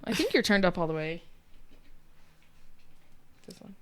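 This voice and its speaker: A young woman co-hosting a casual podcast, bubbly and jokey, quick to laugh. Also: lowish voice, sing-song, a little hiss or hum. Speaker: podcast co-host. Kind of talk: casual chat. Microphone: close studio mic.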